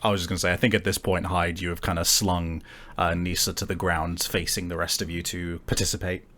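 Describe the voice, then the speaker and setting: A man's voice: low voice, flat delivery, brisk, fairly bright tone. A man running a tabletop game, narrating informally as game master with some hesitation.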